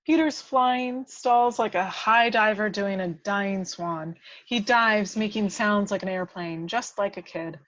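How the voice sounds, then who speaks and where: A woman reads out screenplay action in a medium-pitched voice. She serves as the narrator of an amateur script reading, delivering scene descriptions and stage directions. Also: measured pace, few pauses, a bit bright, no echo, highs cut off.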